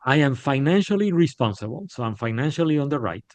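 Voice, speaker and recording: A middle-aged man from Puerto Rico, a podcast guest telling stories in a candid, animated way with frequent restarts. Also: mid-range voice, fast talker, no echo. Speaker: podcast guest, business leader. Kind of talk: casual interview storytelling. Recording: dull, lo-fi sound.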